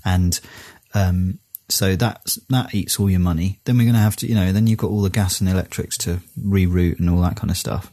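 A man with a lowish voice who co-hosts a podcast in a casual, meandering way. He hesitates and fills gaps with ums and uhs, and the sound is dry and close. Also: fairly even intonation, brisk, few pauses, dark tone, dry recording. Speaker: podcast co-host. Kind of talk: casual chat.

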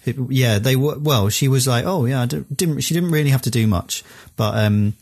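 A man's voice: mid-range voice, moderate pace, darkish tone, dry recording, clean studio sound. A man co-hosting a podcast, chatting casually with lots of ums and uhs and self-deprecating jokes. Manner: rambling, hesitant with many ums and uhs. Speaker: podcast co-host. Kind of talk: casual chat.

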